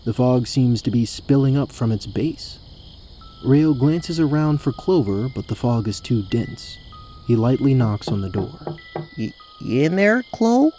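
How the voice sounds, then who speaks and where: A man's voice: medium pitch, measured pace, dark tone, faint music behind. A man delivering scripted narration for a fantasy audio drama as its storyteller.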